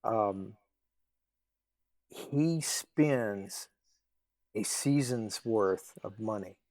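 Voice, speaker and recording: A man hosting a casual interview chat, speaking haltingly with trailing pauses. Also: on the low side, darkish tone, no echo. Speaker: interviewer, host.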